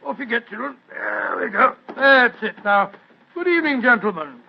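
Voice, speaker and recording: A man's voice: very high voice, lively intonation, moderate pace, gravelly, a little hiss or hum, exaggerated voice. A man playing a jovial older British doctor in avuncular, hesitant 1940s radio acting. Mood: jovial, fussing. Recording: muffled old radio recording.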